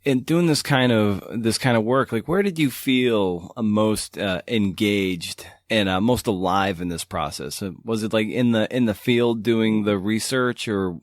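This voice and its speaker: Low-voiced, informal male podcast host. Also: measured pace, hardly any pauses, no echo, studio quality. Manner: informal, tentative, loosely phrased questions. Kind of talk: casual conversational interview.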